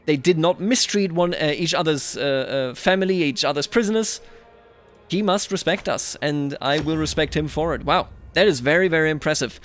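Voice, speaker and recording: A man hosting a strategy game roleplay, thinking aloud in a musing, deliberate way as he comments and narrates. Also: on the high side, fairly bright tone, faint music behind.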